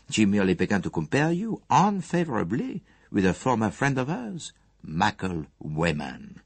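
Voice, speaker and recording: A man voicing a courteous, shrewd foreign detective in an audiobook, with formal, measured, precise phrasing and a gently probing manner. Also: fairly low pitch, husky, dry recording, exaggerated voice.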